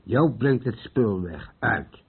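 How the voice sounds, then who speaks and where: An actor playing an untroubled, reassuring smuggler with a husky voice in a radio play. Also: male voice, mid-range voice, lively intonation, slow pace, exaggerated voice. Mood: reassuring, exasperated. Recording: tinny old radio play recording.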